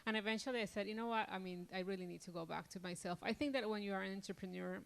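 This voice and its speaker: Gravelly-voiced woman from Colombia. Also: medium pitch, fairly even intonation, very fast. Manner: rambling with many restarts and fillers. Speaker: entrepreneur interview guest. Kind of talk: conversational interview answers.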